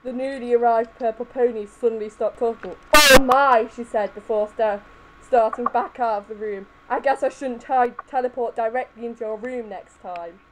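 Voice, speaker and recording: A woman's voice: fairly high pitch, moderate pace. A woman giving an amateur dramatic reading of fan fiction in a group. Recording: faintly noisy home voice-chat recording.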